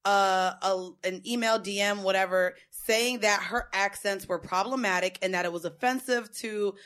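A woman's voice: medium pitch, steady tone, normal speed, a bit bright. A woman co-hosting a podcast, brash and crude, swearing freely and laughing often. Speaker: podcast co-host. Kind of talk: loud crude banter.

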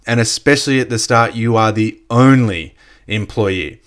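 A man with a slightly croaky voice giving a casual motivational business talk, full of "you know" fillers. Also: on the low side, normal speed. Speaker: network marketing coach. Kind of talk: motivational solo podcast talk.

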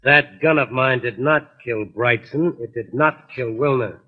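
An actor playing a cold, defiant crooked club owner in a 1940s radio crime drama, curt and guarded. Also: male voice, medium pitch, a little slow, breathy edge. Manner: curt, guarded, hard-edged. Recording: dull, lo-fi 1940s radio recording.

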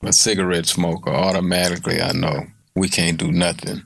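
A middle-aged man chatting casually as a guest, laid-back and unhurried, often checking in with the listener. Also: sits low, level tone, gravelly, fairly bright tone, slightly rough. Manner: laid-back, repeats "you know what I mean". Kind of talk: casual chat.

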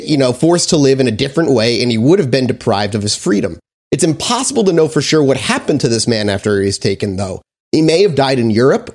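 A man's voice: medium pitch, darkish tone. A man hosting a casual history podcast, reading from notes with frequent fillers and restarts. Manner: reads research aloud with frequent fillers and restarts. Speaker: podcast host.

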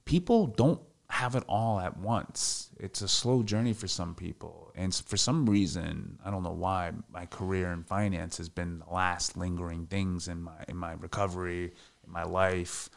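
A man's voice: low voice, normal speed, vocal fry. A man in his thirties talking candidly in a casual podcast interview, reflective and full of fillers. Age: 30s. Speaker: podcast guest.

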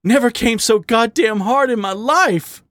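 A man's voice: very high-pitched, a little slow, a bit bright, dry recording, pitched above the performer's own voice. A man voicing a wounded, self-mocking male raccoon who speaks with brittle wryness. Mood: twisted. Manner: wry, brittle, raised voice.

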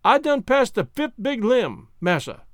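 A storybook servant, simple and obliging. His high voice rises and falls freely in folksy dialect, always literal-minded and eager to please. The sound is dry and close. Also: very animated, unhurried, dry recording, heavily acted. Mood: earnest, hesitant. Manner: folksy nonstandard dialect speech.

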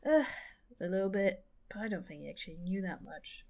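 A woman's voice: mid-range voice, a little fast, dark tone, close to an everyday voice. A woman playing a weary, self-doubting second-in-command in a naturalistic audio drama, speaking in an understated, hesitant way. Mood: weary, guarded.

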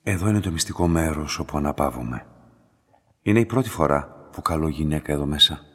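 An actor playing an enigmatic, courteous laboratory director in a radio drama, formal, deliberate and softly insinuating, with a husky voice. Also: male voice, a bass voice, animated, heavily acted. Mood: intimate, insinuating. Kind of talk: slow dramatic radio acting. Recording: long reverberant room echo.

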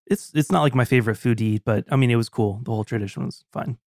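A man's voice: medium pitch, fast, darkish tone, dry recording, clean studio sound. A man answering casually on a podcast, a little hesitant and offhand.

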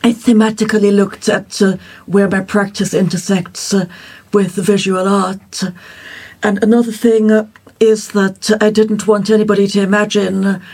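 A poet speaking as a panel guest in a measured, deliberate way, pausing often. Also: mid-range voice, level tone, darkish tone, slightly rough. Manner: measured, deliberate, many pauses with "uh". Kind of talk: panel discussion.

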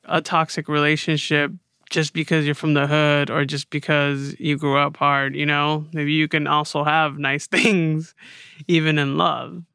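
A man's voice: on the high side, flat delivery, measured pace, vocal fry, bright, forceful tone, smooth, dry recording, studio quality. A man chatting casually and informally as a podcast host. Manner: informal, conversational. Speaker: podcast host and show creator. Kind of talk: casual chat.

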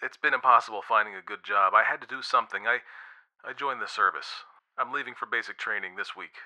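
Man acting a soft, earnest young boyfriend. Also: on the low side, lively intonation, fairly quick, lightly acted, poor audio. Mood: reluctant, choked. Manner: soft, gentle. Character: earnest, devoted young man of about twenty-three, tender boyfriend. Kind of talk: audiobook character acting.

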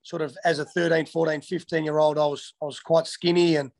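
A man's voice: fairly high pitch, level tone, a little fast, vocal fry, darkish tone. An Australian man from Western Australia in his thirties, answering interview questions casually with many ums and restarts. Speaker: interviewee, former footballer.

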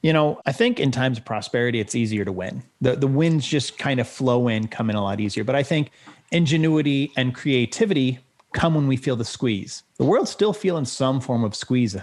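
A male podcast host at a middle pitch asks questions and reacts eagerly. He affirms his guest often and doubles his words as he gets going. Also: expressive, moderate pace, darkish tone. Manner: enthusiastic, affirming, repeats words. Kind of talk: interview conversation.

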